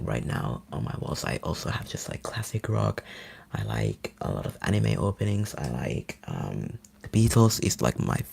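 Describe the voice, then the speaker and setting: A man from Finland, a native Finnish speaker, rambling eagerly in a soft whisper as an ASMR live streamer. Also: low-pitched, creaky, slightly rough.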